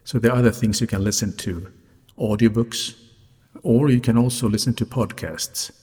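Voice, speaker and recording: Low, slightly raspy male podcast host, non-native English. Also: mellow. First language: not English. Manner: deliberate, careful articulation. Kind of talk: solo podcast narration. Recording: reverberant hall-like sound, music behind.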